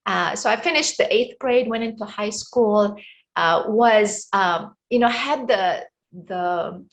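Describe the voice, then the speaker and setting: A middle-aged woman with an Egyptian accent talks as a guest on an interview show. She speaks in a reflective, unhurried way, pausing often and filling gaps with small hesitations, in a voice of ordinary pitch. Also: vocal fry, dull audio. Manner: reflective, halting with many fillers. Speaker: guest, wellness coach. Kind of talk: casual interview talk.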